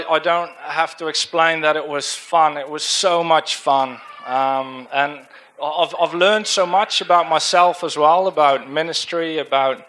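A man's voice: fairly high pitch, fairly bright tone. A young man with a Dutch accent giving an informal church talk about a mission trip, hesitant with many fillers and restarts. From Netherlands. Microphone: handheld microphone.

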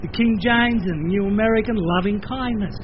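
An older man with an Australian accent, preaching a sermon in a lively conversational way, with playful asides. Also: high voice, brisk, muffled recording, slight background noise. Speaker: pastor.

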